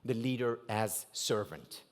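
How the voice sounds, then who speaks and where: A man's voice: on the low side, expressive, slow pace. A man with an Israeli accent, a native Hebrew speaker, giving a motivational keynote talk at a gala dinner, asking rhetorical questions and punching key words. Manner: rhetorical questions with strong rises and drops for emphasis. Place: banquet hall at a gala dinner.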